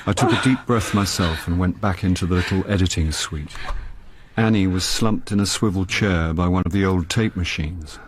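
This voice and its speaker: A man's voice: sits low, moderate pace, lightly acted. A British actor playing a wry, weary middle-aged man in a radio drama, with a dry, self-deprecating manner. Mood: wry narration. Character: wry, weary, hapless middle-aged male actor turned amateur sleuth. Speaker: narrator and lead actor. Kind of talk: radio drama acting and first-person narration. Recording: radio drama with background noise and ambience.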